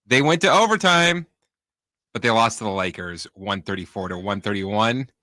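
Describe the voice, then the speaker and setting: A man's voice: fairly low pitch, expressive, normal speed, sharp tone, dry recording, studio quality. An American man co-hosting a sports talk show, casually reading out stats and chatting. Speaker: sports radio co-host.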